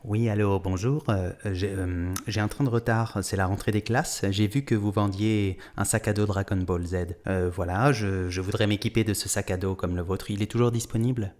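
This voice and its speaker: Guy putting on a low proud cartoon warrior, arrogant, boastful, very formal on the phone. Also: fairly even intonation, normal speed, hardly any pauses, darkish tone, lightly acted. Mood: pompous. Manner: formal, boastful, self-important. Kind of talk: cartoon character voice on a prank call.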